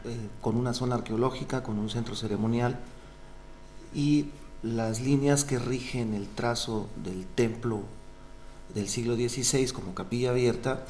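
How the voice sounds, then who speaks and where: A man's voice: medium pitch, steady tone, moderate pace, a little mellow, slight background noise. A man, a doctoral candidate earnestly explaining his research with frequent fillers. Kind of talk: defending a thesis.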